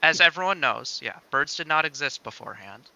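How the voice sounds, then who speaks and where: A man's voice: fairly high pitch, expressive, a little fast, vocal fry, sharp tone, low-quality recording. A man co-hosting a casual livestream, bantering in a playful, joking manner. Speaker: stream co-host.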